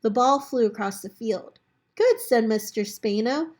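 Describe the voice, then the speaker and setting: A woman reading a children's book aloud in an expressive way, as a storyteller talking to children. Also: on the high side, moderate pace. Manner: animated character voices for dialogue, chatty asides to children.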